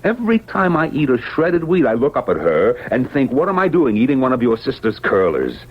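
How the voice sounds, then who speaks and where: An actor playing a weary, henpecked New York husband in a radio drama, wry, grumbling and resigned. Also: male voice, medium pitch, wildly swooping pitch, fairly quick, husky. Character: weary henpecked garment-worker husband, wryly wisecracking, resigned. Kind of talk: 1970s radio drama acting. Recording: dull vintage radio recording.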